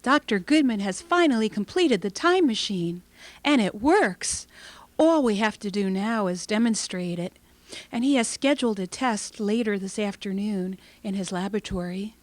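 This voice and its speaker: A woman's voice: mid-range voice, animated, normal speed, no room sound, close to an everyday voice. A woman playing an eager, cheerful lab assistant in an old-time radio drama. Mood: excited. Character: eager, cheerful young lady lab assistant, newly engaged. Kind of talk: Golden Age radio drama acting.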